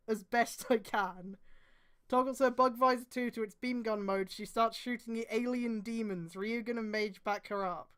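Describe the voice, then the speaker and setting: A woman's voice: on the high side, bright, forceful tone. A woman streamer reading aloud and adding exasperated, sweary mocking commentary.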